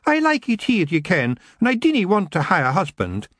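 A man's voice: high-pitched, wildly swooping pitch, a little fast, fairly bright tone, no room sound, studio quality, pitched above the performer's own voice, heavily acted. A man doing a pouty little Scottish fairy girl. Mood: wheedling. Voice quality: wheedling, pouty. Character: sulky young female fairy girl.

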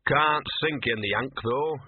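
Man doing a hoarse, boozy little cynic of a dog. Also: mid-range voice, unhurried, no room sound, narrow, tinny audio. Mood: bleary muttering. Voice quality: small, hoarse voice. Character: small scruffy cynical talking male dog, self-pitying drunk. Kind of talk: audiobook character voice.

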